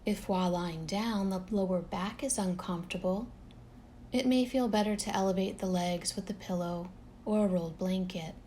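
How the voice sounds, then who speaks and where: A woman leading a guided relaxation meditation in a calm, gentle, unhurried manner, leaving long pauses between phrases. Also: mid-range voice, slight background noise.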